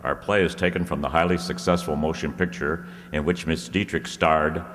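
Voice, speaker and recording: A man playing a vintage radio announcer, reading scripted copy in a measured, formal manner. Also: very deep voice, fairly even intonation. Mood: formal, genial. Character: smooth 1930s radio announcer selling soap. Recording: echoey hall, noisy audience room.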